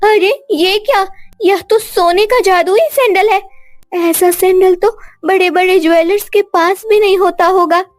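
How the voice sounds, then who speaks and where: A woman voicing a poor, sweet young street-seller girl in a plaintive, drawn-out way for an animated moral story. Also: extremely high pitch, no echo, voice pushed up. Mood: amazed.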